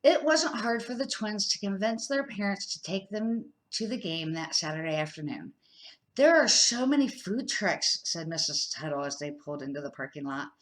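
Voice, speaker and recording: A woman's voice: low voice, steady tone, normal speed, few pauses, poor audio. An older woman reading a children's book aloud in an unpolished way, with small slips and restarts. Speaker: storybook reader.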